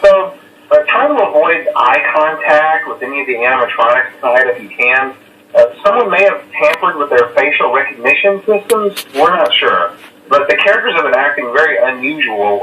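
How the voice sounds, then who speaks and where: Hesitant phone guy, fairly high voice, rambling with fillers, muffled roomy phone message. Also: lively intonation, normal speed, bright, forceful tone, distant mic, a little hiss or hum. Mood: uneasy. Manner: casual, rambling, reassuring. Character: nervous male night-guard phone caller. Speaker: phone caller. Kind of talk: recorded game voice lines.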